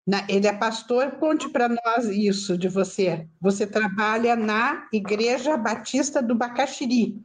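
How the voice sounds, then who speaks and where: A woman's voice: fairly high pitch, measured pace, hardly any pauses. A woman hosting an interview, speaking in a conversational and encouraging way with affirming asides.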